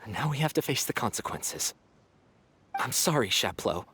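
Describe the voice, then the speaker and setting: A man voicing a contrite, resigned young man in a video game, solemn, halting and sincere. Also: medium pitch, big pitch swings, sharp tone, a little hiss or hum.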